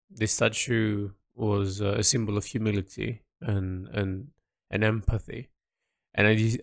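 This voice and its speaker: A man thinking aloud in an audio log about the story he is writing and illustrating, halting and restarting often. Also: fairly low pitch, dry recording, clean studio sound. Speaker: creator discussing his illustrated story project. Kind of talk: casual spoken audio log.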